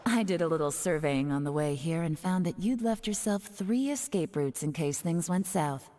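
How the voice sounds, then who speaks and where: An actress playing a cool, sharp-witted female spy who calmly exposes a traitor with cutting, mocking remarks. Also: low-pitched, moderate pace, in a large hall, natural voice. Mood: confident.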